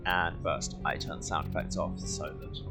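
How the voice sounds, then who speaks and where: A man's voice: a bass voice, steady tone, a little slow. A man hosting a video game playthrough, chatting with wry asides as he comments. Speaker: let's play host. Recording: game music behind the voice. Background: video game music and ambience.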